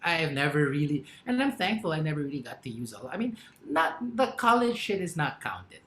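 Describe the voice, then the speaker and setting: A man's voice: a little high, brisk, slight background noise. A man telling stories on a casual podcast. His laugh keeps breaking in, and the room around him is roomy and echoey. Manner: animated storyteller, laughs often. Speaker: podcast co-host. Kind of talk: casual storytelling chat.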